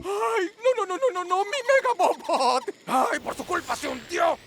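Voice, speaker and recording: A woman's voice: very high voice, measured pace. A woman voicing a bossy, selfish, whiny wolf professor in a Latin American Spanish cartoon dub. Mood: whining, indignant. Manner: theatrical, exclaiming. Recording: loud music behind.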